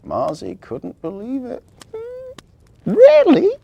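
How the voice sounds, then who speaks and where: Very animated, husky male storyteller. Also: mid-range voice, a little slow, dry recording. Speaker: story reader.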